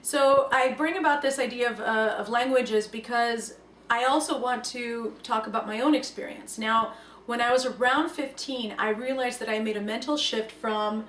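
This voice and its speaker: A woman's voice: on the high side, moderate pace, distant mic. A young woman whose first language is Russian, giving an earnest and reflective talk to camera. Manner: earnest, reflective, lively emphasis. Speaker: language learning speaker. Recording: echoey room, voice from off the mic, faint room noise.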